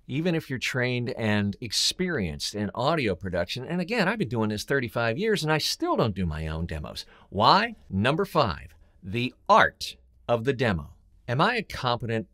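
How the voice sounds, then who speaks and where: A middle-aged man giving scripted instructional advice as a voiceover coach, emphatic, with rhetorical questions and deliberate pauses. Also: medium pitch, a bit bright. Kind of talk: scripted instructional talk to camera.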